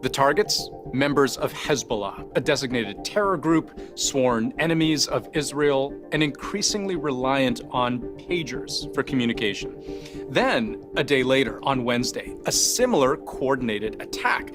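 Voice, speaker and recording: A man delivers scripted news narration at a medium pitch. His phrasing is deliberate and emphatic, punctuated by rhetorical questions. Music plays clearly behind his voice. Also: animated. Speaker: news explainer host. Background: background music bed.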